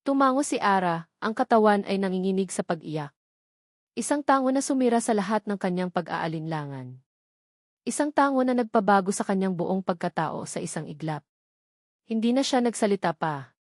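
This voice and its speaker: A woman's voice: mid-range voice, animated, moderate pace, no room sound, studio quality. A woman reading a dramatic scripted story as an audiobook narrator, with an emotive storytelling delivery.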